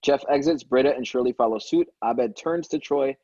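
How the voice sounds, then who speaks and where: Casting: male stage-directions narrator, relaxed dark tone, dry and slightly lo-fi. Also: mid-range voice, moderate pace, dry recording. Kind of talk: scripted narration at a table read.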